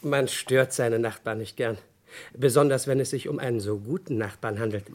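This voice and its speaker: A man's voice: mid-range voice, moderate pace, darkish tone, lightly acted. An actor playing a long-winded, over-polite, cheerfully oblivious nosy male neighbour in a comic radio play. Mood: chatty, over-polite. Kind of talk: comic German crime radio play, 1950s-80s.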